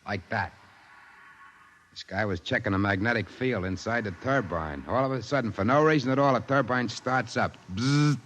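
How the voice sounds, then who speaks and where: A man's voice: mid-range voice, moderate pace, fairly bright tone, a little hiss or hum, lightly acted. A man playing a blunt security guard. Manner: blunt and matter-of-fact. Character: blunt working-class male security guard. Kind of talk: 1950s radio acting.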